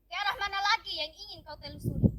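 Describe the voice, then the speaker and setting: A woman's voice: very high-pitched, fast talker, fairly bright tone. A teenage girl playing an indulgent, authoritative royal father in an amateur folktale drama, speaking formally and declaiming. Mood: stately, granting. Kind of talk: amateur school storytelling drama.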